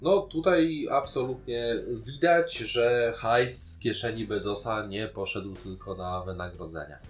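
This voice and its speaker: A man's voice: fairly low pitch, measured pace. A man, a podcast co-host casually discussing a TV series in a colloquial, opinionated way.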